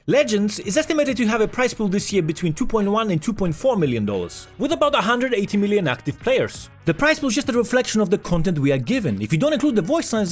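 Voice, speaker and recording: Male gaming news host, high voice, brisk pace, sarcastic and casual. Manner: sarcastic, jokey, casual with swearing. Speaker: video host. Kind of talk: scripted esports news narration.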